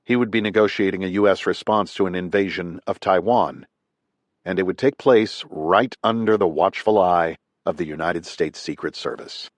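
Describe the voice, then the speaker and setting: A man narrating a thriller audiobook in a steady, even reading. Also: sits low, normal speed, no echo.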